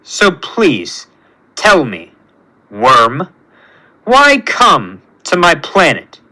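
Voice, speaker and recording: A megalomaniac god-villain, performed by a male storyteller, pompous and full of contempt. The voice sits high and bright and swings widely as he sneers at everyone beneath him. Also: wildly swooping pitch, extremely slow, heavily acted. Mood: grandiose, menacing.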